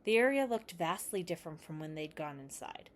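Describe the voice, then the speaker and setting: A woman's voice: fairly low pitch, expressive, a little fast. A woman reading fan fiction aloud as a scripted narration. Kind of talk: scripted story narration with acted dialogue.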